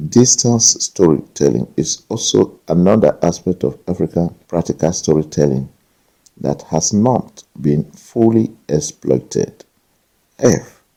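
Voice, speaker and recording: A man with a husky, gravelly voice reading a scripted outline aloud as a contributor. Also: low-pitched, dark tone, poor audio. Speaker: contributor answering a question on African studies. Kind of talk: scripted outline read aloud, lettered points.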